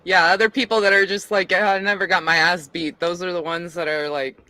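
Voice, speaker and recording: A man's voice: high-pitched, level tone, brisk, bright, forceful tone, dry recording. A man hosting a casual interview, teasing his guest and laughing often. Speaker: host.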